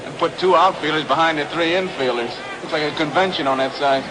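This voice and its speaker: A heavily noisy 1960s radio recording from an outdoor ballpark features an American man with a high voice. He gives conversational color commentary, explaining the game as if chatting with his booth partner. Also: a little fast. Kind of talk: conversational analysis on live radio.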